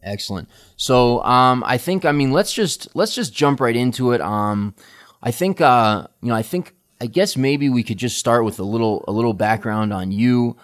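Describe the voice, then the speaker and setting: A man's voice: medium pitch, expressive. A man hosting a podcast interview, talking casually with many ums and restarts. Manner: halting, many fillers and restarts. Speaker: podcast host.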